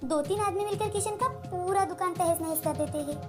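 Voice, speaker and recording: Woman narrating a story, really high almost childlike voice, bit of music under it. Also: moderate pace.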